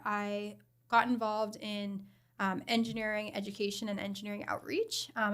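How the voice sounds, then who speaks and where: Middle-aged woman, interview guest, warm-natured and measured, quick to laugh. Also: medium pitch. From Bahamas. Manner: friendly, laughs easily, measured. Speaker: guest, program director. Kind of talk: interview answers.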